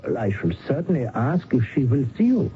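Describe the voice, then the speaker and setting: A man playing a formal, evasive Danish lawyer with measured courtesy in a radio mystery drama. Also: medium pitch, big pitch swings, lightly acted. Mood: amused, evasive. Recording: noisy muffled old radio recording.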